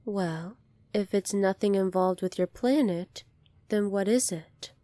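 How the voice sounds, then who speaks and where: A woman playing a poised, kindly young alien princess in an ASMR roleplay, polite, formal and measured, soft-spoken with breathy moments. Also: medium pitch, no room sound, natural voice. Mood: curious, courteous.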